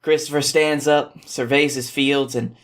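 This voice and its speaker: A man's voice: a little high, flat delivery, unhurried, fairly bright tone. A man guesting on a podcast, talking casually and reading a story aloud, with an informal, self-deprecating way about him.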